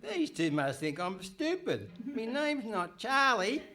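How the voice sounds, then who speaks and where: A man's voice: high-pitched, very animated, takes it slowly, bright, forceful tone, exaggerated voice. A man playing a cheeky, put-upon cab driver in a radio comedy, matey and grumbling. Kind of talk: Goon Show style radio comedy acting. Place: live performance on stage in a hall.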